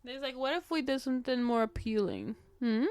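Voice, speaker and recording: A woman's voice: sits high, a little fast, a little mellow, no echo. A woman co-hosting a casual podcast, talking in a slangy, profane, sardonic way. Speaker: podcast co-host. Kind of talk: casual chat, film discussion.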